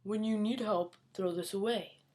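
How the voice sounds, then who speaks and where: A woman's voice: mid-range voice, normal speed, darkish tone, natural voice. A kindly, neglected yard dog from a storybook, voiced by a woman reader. It talks plainly and frankly. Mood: helpful.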